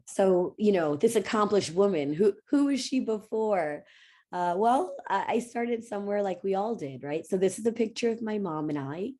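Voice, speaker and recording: A woman's voice: mid-range voice, expressive, moderate pace, dark tone. A middle-aged woman giving a personal keynote speech on stage, telling her family story earnestly with fillers and restarts. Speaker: keynote speaker. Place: conference stage.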